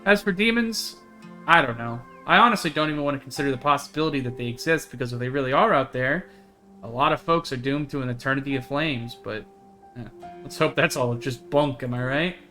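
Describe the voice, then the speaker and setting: A bright-voiced, talkative young woman monster hunter from a visual novel, read out by a man. She is earnest and eager, with a folksy turn of phrase, and music plays behind her. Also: medium pitch, brisk, close to an everyday voice. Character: chatty, earnest young woman who hunts cryptids.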